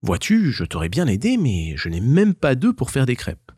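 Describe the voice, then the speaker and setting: A man's voice: medium pitch, huge pitch swings, moderate pace, husky, dry recording, studio quality, voice pushed up. A man voicing a kind, regretful village schoolmistress in a children's tale. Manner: gentle, apologetic. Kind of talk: children's storybook dialogue.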